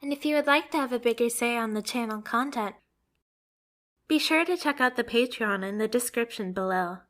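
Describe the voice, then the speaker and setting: Casting: female ASMR creator, high voice, upbeat sign-off addressed to viewers. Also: normal speed, a bit bright. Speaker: ASMR voice performer. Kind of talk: upbeat channel outro to viewers.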